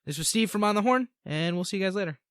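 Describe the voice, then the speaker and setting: A man's voice: sits high, lively intonation, fast talker, fairly bright tone, clear, resonant tone, no echo, studio quality. A man hosting a podcast, chatting casually with a guest, laughing easily and repeating words. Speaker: podcast host. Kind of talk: casual interview chat.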